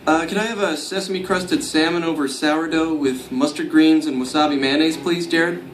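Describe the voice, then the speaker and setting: A man voices a self-pitying, anxious young everyman in a motion comic. His voice is fairly high, with a nervous, whiny delivery. It has a roomy echo and music behind it. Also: fairly even intonation, moderate pace, close to an everyday voice. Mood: wary. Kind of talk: motion comic voice acting with narration. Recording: roomy sound with music underneath.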